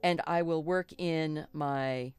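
Middle-aged woman, art host, low-ish and slightly froggy, chatty and reassuring, close dry sound. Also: steady tone, takes it slowly, a little mellow, smooth, no room sound. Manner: chatty and reassuring, with rambling asides and self-corrections. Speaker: art teacher hosting a live stream. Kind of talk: relaxed live painting tutorial.

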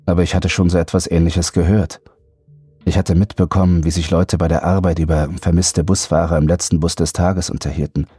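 Horror audiobook narrator, male, very low and dark mellow voice, faint music underneath. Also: level tone, fairly quick, no room sound. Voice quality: deep, husky, mellow. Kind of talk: scripted first-person horror narration.

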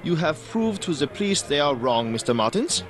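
An actor playing a shady, genteel baron and cafe violinist in a radio drama, courteous and formal. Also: male voice, fairly high pitch, sing-song, normal speed, over music. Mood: smoothly polite. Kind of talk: old-time radio drama acting.

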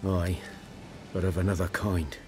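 A sombre outcast hunter from a fantasy video game. His voice is fairly low, and he talks in a guarded, plain-spoken rustic manner over music and rain. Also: flat delivery, unhurried. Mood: guarded, bitter.

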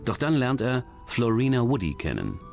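A man's voice: mid-range voice, huge pitch swings, normal speed, vocal fry. A man reading scripted true-crime documentary narration as the narrator. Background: background music.

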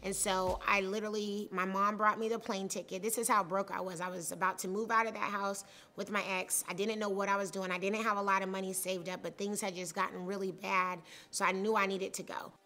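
A woman in her thirties, a startup founder, telling her personal story in an interview, candid and chatty, in a high-pitched voice. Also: flat delivery, brisk, bright, forceful tone. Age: 30s. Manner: candid, conversational, with many fillers. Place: studio set with leafy wall.

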